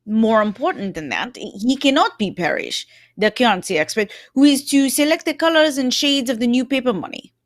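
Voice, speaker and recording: Brief: woman as courteous, self-assured male sleuth, high voice, theatrical radio-play delivery, close dry sound. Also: expressive, normal speed, no room sound, lightly acted. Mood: explaining, triumphant. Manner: theatrical, explanatory, punctuated with "eh?". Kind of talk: old-time radio mystery acting.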